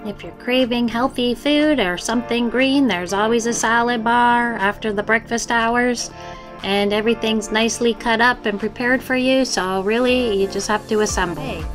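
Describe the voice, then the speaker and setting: A woman narrating a casual guided tour as a travel vlogger, chatting to viewers with tips and questions. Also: a little high.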